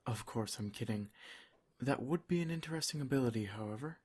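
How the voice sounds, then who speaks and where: A man playing a doting, protective vampire lord in an ASMR roleplay, soft-spoken, formal and reassuring. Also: medium pitch, animated, brisk, slightly rough. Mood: concerned, gently teasing.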